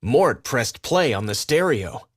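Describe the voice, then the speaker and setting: Male narrator, children's story reading, very slow pace, expressive swooping intonation. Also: mid-range voice, slightly rough, dry recording, clean studio sound.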